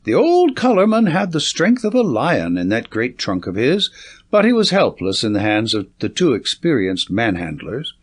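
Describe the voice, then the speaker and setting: A man's voice: mid-range voice, wildly swooping pitch, moderate pace. A man reading literary fiction as a scripted audiobook narration.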